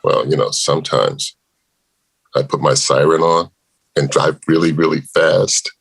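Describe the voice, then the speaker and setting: An actor playing a sheepish, shady motorcycle cop with an awkward, halting delivery at a table read. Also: male voice, very deep voice, creaky, fairly bright tone, slightly rough, full character voice. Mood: sheepish, dazed.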